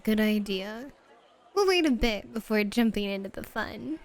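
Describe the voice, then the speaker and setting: A woman's voice: medium pitch, expressive, measured pace. A woman playing a flirty, teasing, self-assured club hostess in an ASMR roleplay. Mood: relaxed, gracious.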